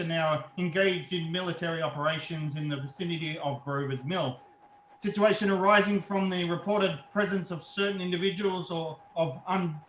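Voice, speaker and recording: A man's voice: sits high, a bit bright. A man playing a confident army signal captain giving a field report in a radio drama, stumbling over words. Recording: echoey room with background noise.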